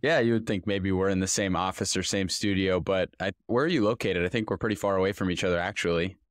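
A man's voice: deep voice, steady tone, fast talker, dry recording. A young man hosting a podcast, talking in a friendly, laid-back way into a studio mic. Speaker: podcast host. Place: small room with dark backdrop. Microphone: studio mic on a boom arm, headphones.

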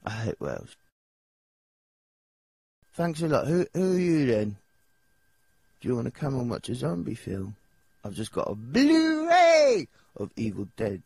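A nervous, childlike young English singer from a cartoon game. His voice is husky and swoops up and down as he rambles in slang, hesitant and a little dim. The recording is close and dry. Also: medium pitch, huge pitch swings, unhurried, long pauses, a little mellow, dry recording, full character voice. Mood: timid, friendly. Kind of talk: video game character dialogue.

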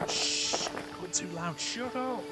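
A woman's voice: fairly low pitch, slow, over loud music, low-quality recording. A woman playing a grasping, grumpy old man in an audio drama, muttering and exclaiming. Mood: agitated.

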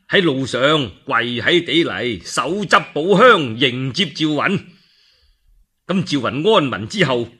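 A man with a Cantonese accent telling a story for radio in a colloquial, expressive, theatrical way. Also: a little high, slightly rough. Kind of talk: animated dramatic radio storytelling.

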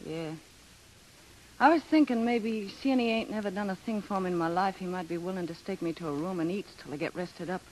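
A woman's voice: fairly low pitch, fairly quick, a little mellow, a little hiss or hum, close to an everyday voice, poor audio. An actress playing a bitter, tired young woman with blunt, slangy talk in a 1930s radio drama. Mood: weary. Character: weary, bitter, hard-bitten young woman, guarded and tough. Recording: vintage 1930s radio broadcast.

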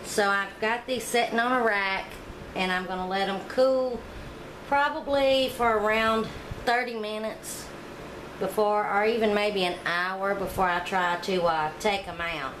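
A woman's voice: medium pitch, steady tone, measured pace. A woman, chatting homey and casual as she demonstrates baking in her home kitchen. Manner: chatty, homey, talks to viewers while working. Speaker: home cooking vlogger. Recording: echoey kitchen with background noise.

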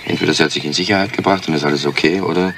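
An actor playing a stubborn, sardonic criminal in a crime radio play, talking bluntly and guardedly. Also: male voice, a bass voice, steady tone, racing, creaky. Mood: stubborn warning. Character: hard-boiled male criminal, stubborn, sardonic, bargaining with police. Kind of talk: crime radio drama acting. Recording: noisy old radio play.

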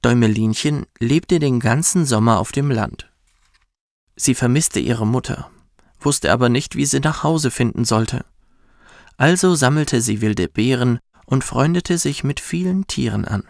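Slow, gentle male bedtime storyteller. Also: mid-range voice, vocal fry, no room sound, clean studio sound. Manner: soft, soothing, measured. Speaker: professional bedtime story narrator. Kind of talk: gentle scripted storytelling for children.

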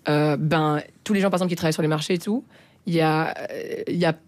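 A woman's voice: deep voice, fairly even intonation, quick pace, a little mellow. A young woman whose first language is not French, a guest answering interview questions in a radio studio with many fillers and restarts. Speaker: guest musician. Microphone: studio mic, headphones.

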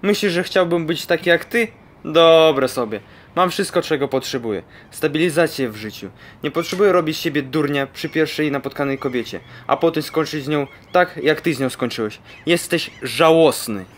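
A man's voice: on the high side, expressive, normal speed, few pauses, lightly acted. A young man playing a lovesick, desperate and proud sailor in a radio play. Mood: defiant. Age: young adult. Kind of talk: amateur radio play acting.

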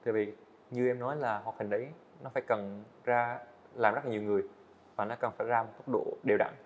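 A young man talks quickly and earnestly as a podcast guest, laying out his plans in long, casual explanations. His voice sits at an ordinary pitch. The recording sounds slightly dull. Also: fairly even intonation, a little hiss or hum. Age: young adult. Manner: earnest, explains at length with fillers. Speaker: guest, student animator. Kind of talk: casual interview talk. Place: studio set, indoors.

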